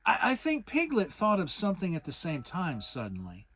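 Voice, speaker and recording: A storybook reader voicing a gentle, earnest, slow-witted bear with a halting, deliberate manner. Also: medium pitch, huge pitch swings, voice pushed up. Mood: hesitant. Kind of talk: dramatic children's storybook reading.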